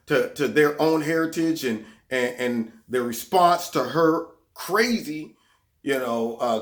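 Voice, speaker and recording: A man's voice: fairly high pitch, fairly bright tone. Sarcastic man hosting a political vlog. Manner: sarcastic, repeats words when heated. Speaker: vlog host.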